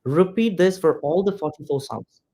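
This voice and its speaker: A man's voice: on the high side, moderate pace, dark tone. A man from India whose first language is Malayalam, teaching English pronunciation in a direct, repetitive classroom manner. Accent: Indian, neutralized English. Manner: direct, repetitive, addresses students as "guys". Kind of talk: classroom instruction to students.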